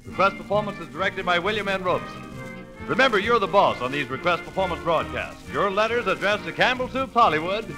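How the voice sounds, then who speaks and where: A man's voice: sits high, lively intonation, brisk, music in the background, rough recording. A male announcer reading scripted commercial copy in a folksy, salesmanlike 1940s radio style. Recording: vintage 1940s radio broadcast.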